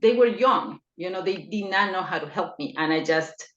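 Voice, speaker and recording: Low-voiced middle-aged woman from Ecuador. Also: animated, normal speed, fairly bright tone. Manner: hesitant, with many fillers and restarts. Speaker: podcast guest. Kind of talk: personal interview. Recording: echoey, dull lo-fi remote line.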